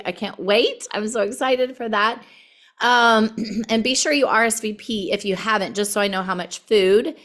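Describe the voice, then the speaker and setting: A woman with a hoarse, worn voice hosts a video call. Her pitch sits in the middle of the range. She talks in a chatty, easygoing way and fills her sentences with ums. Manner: chatty and warm, many ums. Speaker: group leader hosting a video call. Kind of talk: casual announcements on a video call.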